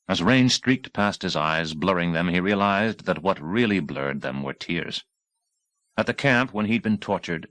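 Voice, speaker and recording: A male audiobook narrator with a low voice delivers dramatic action prose. His phrasing is intense and deliberate, falling in short bursts. The sound is dry, with very little room on it. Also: a bit bright, dry recording.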